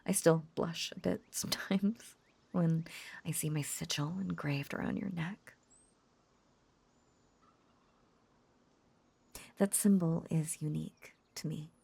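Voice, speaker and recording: A woman playing a lovesick, possessive, lonely witch in an intimate audio roleplay, coaxing and halting in a breathy whisper. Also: low-pitched, steady tone, mellow, exaggerated voice. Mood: possessive, bashful.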